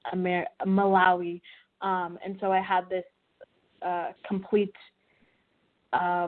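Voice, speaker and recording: Young woman, low flat voice, tinny line. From Midwestern US, Wichita, Kansas. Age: young adult. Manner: reflective, many fillers and restarts. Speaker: guest, filmmaker and student. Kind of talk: interview over a call.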